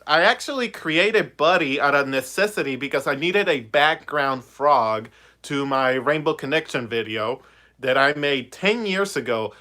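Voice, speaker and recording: A young man from Puerto Rico chats on a phone livestream. His voice sits a little high and sounds bright. He rambles casually and uses lots of fillers. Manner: chatty, rambling, many fillers. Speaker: puppeteer vlogger. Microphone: phone on a ring light.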